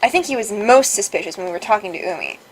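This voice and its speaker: A woman's voice: mid-range voice, animated, fast, slight background noise, rough recording. A woman reading visual novel dialogue aloud as a let's play host, playful and casual in her delivery. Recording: music behind.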